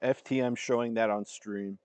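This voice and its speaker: A man's voice: on the low side, fairly even intonation, normal speed, a little mellow, clear, resonant tone, dry recording. A man giving casual, off-the-cuff reaction commentary as a livestreamer. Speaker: livestreamer reacting to fan-made videos.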